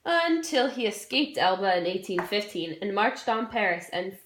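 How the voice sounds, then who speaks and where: A woman's voice: medium pitch, expressive, normal speed, a bit bright, a little hiss or hum. A young woman, a student presenter, delivering a scripted history skit in an informal, jokey way. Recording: roomy, echoey room sound.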